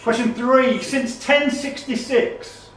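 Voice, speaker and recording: A man's voice: extremely high pitch, fairly even intonation, takes it slowly, a bit bright. A man acting as quizmaster, reading quiz questions aloud. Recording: echoey room, distant mic, faint noise.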